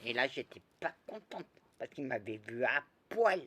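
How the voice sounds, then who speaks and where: A woman telling a rambling, lively story in a casual spoken way, full of restarts and asides. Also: a bass voice, normal speed, slight background noise. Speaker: storyteller recounting past lives.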